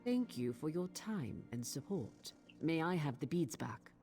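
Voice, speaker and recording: An actress playing a proud, earnest Nord storyteller in a fantasy game, speaking in a solemn, declamatory way. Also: female voice, low voice, big pitch swings, unhurried, full character voice. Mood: earnest, honored. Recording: game music loud behind the voice.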